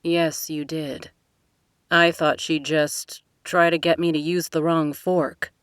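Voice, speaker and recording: A woman voicing an apologetic young man, speaking softly and sheepishly. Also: low-pitched, level tone, slow pace, clear, resonant tone, no echo, clean studio sound. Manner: soft, hushed, apologetic.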